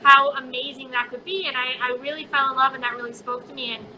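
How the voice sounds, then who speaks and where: A woman's voice: high voice, fairly even intonation, fast, background noise. A woman who grew up in Colombia, a chocolate maker chatting in an online interview, talking casually with many fillers. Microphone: phone on a live video chat.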